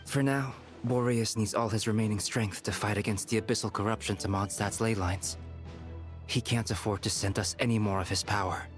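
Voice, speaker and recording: An actor voicing a serious male advisor explaining a plan calmly. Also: fairly low pitch, fairly quick, lightly acted. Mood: grave. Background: game music.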